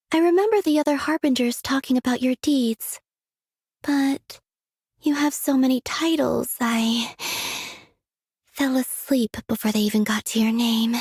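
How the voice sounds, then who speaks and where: A woman voicing a gentle, dreamy young moon maiden from a video game, speaking softly and airily in an unhurried, serene way. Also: on the high side, dry recording, clean studio sound. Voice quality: soft, breathy. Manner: unhurried, airy, serene, delicate. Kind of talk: scripted video game character voice lines.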